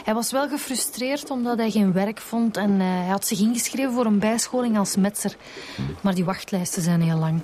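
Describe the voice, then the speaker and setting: A woman's voice: medium pitch, moderate pace, a little mellow, a little hiss or hum, lightly acted. An actress playing an earnest female Flemish police detective in a naturalistic radio drama.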